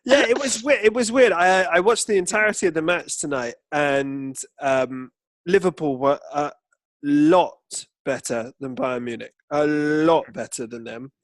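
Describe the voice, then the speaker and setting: Male podcast co-host, blokey and sweary, higher-pitched, casual football chat, close dry sound. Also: a little slow, dry recording.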